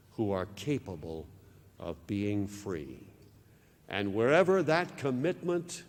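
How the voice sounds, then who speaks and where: This is a male pastor preaching with fervor, his pitch leaping and falling as he lingers on each phrase. His voice carries a long hall reverb, as if from a church sanctuary. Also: darkish tone. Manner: impassioned, dramatic swings in pitch and volume. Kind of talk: televised sermon.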